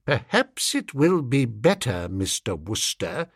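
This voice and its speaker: A man voicing a stern, cold schoolmistress who speaks in a low, soft, even and firm way, in a storybook reading. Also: slow pace, vocal fry, husky, dry recording, clean studio sound, heavily acted. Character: stern, icy middle-aged schoolmistress, woman.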